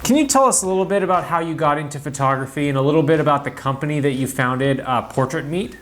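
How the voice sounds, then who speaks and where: A man's voice: a little high, fast, a bit bright. A man hosting a podcast, reading a scripted intro and guest bio in an upbeat announcer manner. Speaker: podcast host. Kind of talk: scripted show intro and questions. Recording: music bed under the voice.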